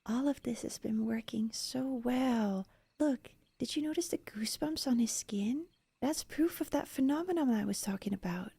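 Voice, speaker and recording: Casting: eager young female elf scholar, acted by a woman for ASMR roleplay, high but mellow, soft-spoken, slipping into whispers. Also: moderate pace, gravelly, husky, no echo. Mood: hushed fascination. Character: eager, curious young female elf researcher studying a human.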